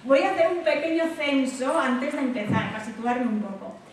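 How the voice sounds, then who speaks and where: A woman's voice: high voice, normal speed. A woman with an accent from Spain giving an informal, conversational public talk as a guest speaker. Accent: Spain Spanish. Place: echoey indoor hall at a public talk. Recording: very echoey hall, distant mic, background noise.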